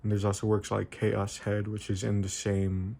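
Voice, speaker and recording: A young man with a fairly low voice talks through an informal video essay. His delivery is very flat, with little rise or fall. He phrases things haltingly and often corrects himself as he explains. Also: gravelly. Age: young adult.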